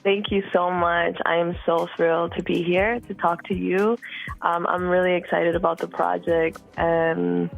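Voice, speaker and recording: A woman in her thirties, from Costa Rica, talking casually as a music guest, relaxed, with many fillers and repeated words. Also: lowish voice, measured pace, creaky, rough recording. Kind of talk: casual interview chat.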